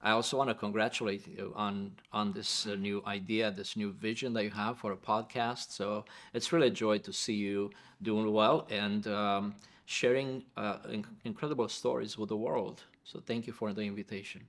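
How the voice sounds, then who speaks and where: A middle-aged man with a Romanian accent shares his life story as an interview guest. His voice sits low, and he speaks in an earnest, reflective way, often doubling words as he searches for them. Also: steady tone, normal speed, hardly any pauses, fairly bright tone. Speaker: guest, pastor and professor.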